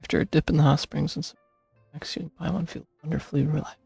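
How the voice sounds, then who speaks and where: A man with a gravelly, husky voice giving casual gameplay commentary. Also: a little high, little pitch movement, fast, darkish tone, no room sound, soft background music. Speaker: gamer playing and reacting.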